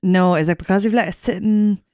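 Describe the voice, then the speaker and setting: A woman's voice: medium pitch, fairly even intonation, brisk, warm tone, no room sound, studio quality. A woman with an Irish accent co-hosting a podcast in casual chat over drinks, playful, giggly and sweary. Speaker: podcast co-host.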